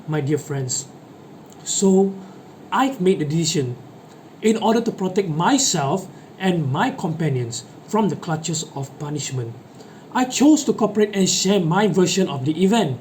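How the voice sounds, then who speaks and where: A man's voice: high-pitched, a little mellow. A man performing a dramatic monologue as a guilt-ridden schoolboy defending his betrayal of friends, in an earnest, emphatic, formal delivery. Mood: defensive. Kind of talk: dramatic monologue, reader's theatre. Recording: noticeable background noise.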